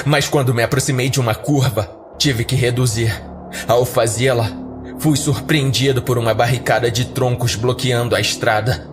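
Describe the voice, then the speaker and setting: A man with a mid-pitched voice narrates a scripted horror tale in the first person. Faint music runs beneath his podcast narration.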